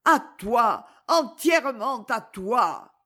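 A woman's voice: on the high side, big pitch swings, slow, sharp tone, pitched above the performer's own voice, full character voice. A woman reading an audiobook, voicing a young noblewoman in passionate despair with an impassioned, pleading, trembling delivery.

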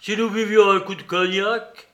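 A man voicing a boisterous, mocking old father who shouts and jeers theatrically. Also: high voice, level tone, normal speed, pitched above the performer's own voice. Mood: wheedling.

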